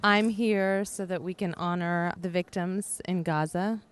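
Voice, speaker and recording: A woman's voice: fairly low pitch, steady tone, unhurried. A woman attending a vigil, giving an earnest interview statement.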